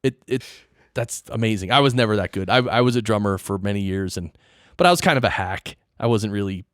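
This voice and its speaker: A man's voice: on the low side, lively intonation, fairly quick, a bit bright, no room sound, studio quality. A man hosting a podcast, chatting casually with his guest in an interview. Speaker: podcast host.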